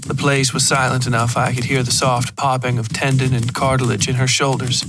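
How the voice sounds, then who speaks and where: A man reading first-person dark fiction as a narrator. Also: medium pitch.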